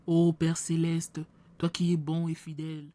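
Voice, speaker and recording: A woman playing a slow, fervent, low-voiced preacher. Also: little pitch movement. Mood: leading worship. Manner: hushed, fervent prayer with hesitant fillers. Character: fervent preacher leading a worship service. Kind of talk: church skit, preaching and prayer.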